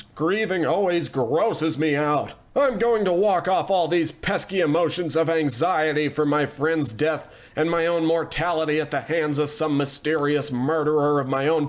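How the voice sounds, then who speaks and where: Man doing a smug, deadpan rich boss, fairly high voice, echoey hall. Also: natural voice. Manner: pompous, self-absorbed, deadpan. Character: pompous, callous male animation tycoon. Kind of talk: comedy radio play acting.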